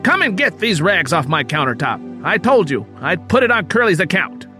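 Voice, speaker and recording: A man doing a high, condescending old Southern storekeeper. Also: very animated, moderate pace, music in the background. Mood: angry, barking. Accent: American South. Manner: patronizing, domineering, emphatic.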